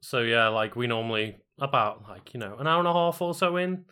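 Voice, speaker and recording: A man's voice: medium pitch, lively intonation, fairly quick, sharp tone, clean tone. A man with a Scouse accent co-hosting a stream, chatting in an easygoing, laid-back way. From Liverpool. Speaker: co-host. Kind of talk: casual stream chat.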